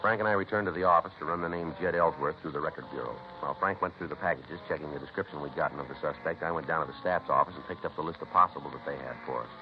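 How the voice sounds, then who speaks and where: An American man playing a terse, matter-of-fact police detective in a 1950s radio drama, with a clipped, deadpan, businesslike delivery. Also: rumbling low, rapid-fire, few pauses, over music. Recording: noisy, muffled 1950s radio recording.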